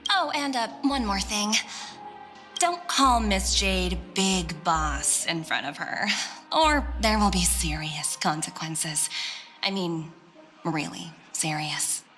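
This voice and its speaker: A woman voicing a shrewd, confident corporate director in a video game, composed and knowing, with quiet authority. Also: lowish voice, lively intonation, slow. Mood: knowing, firm. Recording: faint music behind.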